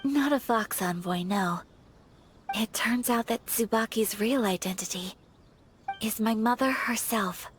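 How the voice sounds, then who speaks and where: Casting: gentle young noblewoman, played by a woman, game role, highish voice, formal, earnest and soft-spoken, music behind. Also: measured pace, lightly acted. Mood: earnest, composed. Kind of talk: English game voice acting.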